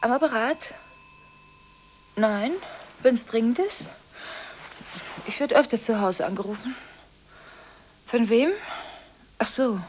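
An actress playing a volatile, contradictory school headmistress in a radio drama, talking restlessly on the phone, breaking off and repeating herself. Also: female voice, fairly high pitch, slow, long pauses, a little mellow, a little hiss or hum. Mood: businesslike, uneasy.

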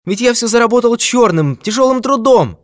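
A man's voice: very high voice, expressive, moderate pace, no echo, clean studio sound, voice pushed up. A man voicing a terrified old miser who wails and pleads in a strained, raised voice. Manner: wailing, pleading.

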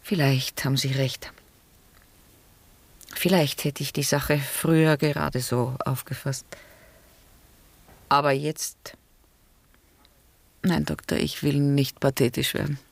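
A reflective, weary middle-aged wife in a radio drama. Her husky voice often sinks toward a hush, with creaky edges and slow, grave phrasing. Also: rumbling low, flat delivery, no echo, heavily acted. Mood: pensive. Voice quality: husky, breathy. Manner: hushed, deliberate, grave. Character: weary, reflective married woman with guilty past. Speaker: actor. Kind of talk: German radio drama acting.

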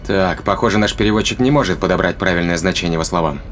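An actor playing a ruthless, commanding spaceship owner in an audio drama, curt and menacing in manner. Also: male voice, low voice, fast, fairly bright tone, a little hiss or hum. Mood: cold, threatening.

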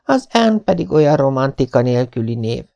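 A man's voice: mid-range voice, sing-song, vocal fry, dark tone. A man reading an audiobook voices a dreamy, dramatic young orphan girl with a theatrical, pleading manner.

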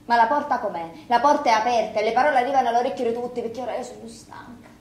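Actress as a bitter Sicilian widow, echoey room. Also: female voice, on the high side, rapid-fire, darkish tone, natural voice. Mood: bitter, defiant. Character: bitter, weary, defiant Sicilian widow at a wake. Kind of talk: stage drama acting in dialect.

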